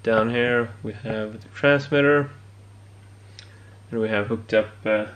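A man giving a casual hands-on demonstration as a hobbyist, often pausing mid-phrase. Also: on the low side, fairly even intonation, fairly bright tone. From Sweden. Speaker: amateur radio hobbyist presenter. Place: indoor home electronics workbench.

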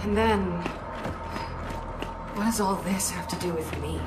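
A woman's voice: mid-range voice, unhurried, very noisy, lightly acted. A woman playing a determined, wary young soldier in a video game, with a blunt, direct way of talking. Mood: guarded, serious. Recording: loud game music behind.

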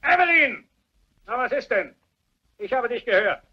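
Shrill, cranky old tyrant. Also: male voice, sing-song, moderate pace, bright, forceful tone, slightly rough, lo-fi sound, full character voice. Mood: grumpy, barking. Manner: barking, suspicious. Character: cranky, tyrannical, miserly sick old father. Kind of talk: German radio crime drama acting.

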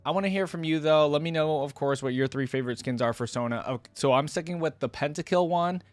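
A man's voice: a little high, quick pace, no room sound, soft background music. A young adult man giving chatty, slangy reaction commentary into a close desk microphone. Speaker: reaction video host. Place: indoor streaming setup. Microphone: close desk microphone with headset on.

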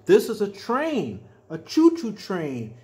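An older man reading a children's picture book aloud as a storyteller, adding simple explanations with drawn-out emphatic words. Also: sits high, huge pitch swings, warm tone. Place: small furnished room at home, indoors.

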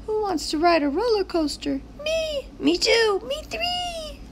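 A woman's voice: extremely high pitch, very animated, unhurried, background noise, voice pushed up, full character voice. A woman voicing a plucky, eager little stick-figure kid from a picture book, in a childlike exclaiming manner. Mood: gleeful. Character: excitable little stick-figure sign kids, adventurous and plucky.

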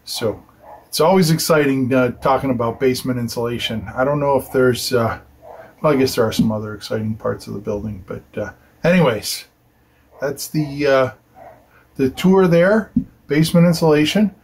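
Husky-voiced man chatting through a building detail. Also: mid-range voice, normal speed, a little mellow. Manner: chatty and informal, thinking aloud with fillers and tag questions. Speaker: architect explaining building details. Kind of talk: casual technical explainer. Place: small studio room with dark curtains.

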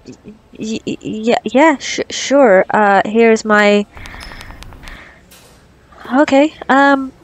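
A woman's voice: fairly high pitch, very slow, long pauses. A woman playing an anxious, socially awkward young woman with a crush in an ASMR roleplay, stammering and rambling nervously. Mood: flustered, giddy. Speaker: audio roleplay performer. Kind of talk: ASMR girlfriend roleplay acting.

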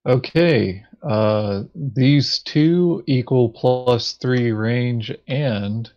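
A man playing a board game, chatting casually and thinking aloud in a halting way. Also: medium pitch, a little mellow, muffled recording. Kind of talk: casual tabletop game chat.

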